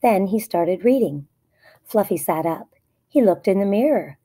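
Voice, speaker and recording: A woman's voice: mid-range voice, animated, a little slow, warm tone, breathy edge. A woman narrating a children's story aloud in short, plainly phrased sentences. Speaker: storybook reader.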